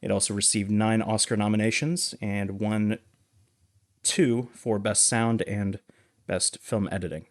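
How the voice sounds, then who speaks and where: A man with a fairly low voice talks as a podcast co-host. He explains things casually, feeling his way through details in a measured, halting manner. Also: steady tone. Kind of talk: casual movie discussion.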